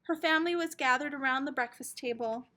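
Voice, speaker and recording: A woman teacher reading a children's storybook aloud in an expressive, dramatic storytelling manner. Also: high voice, a little fast.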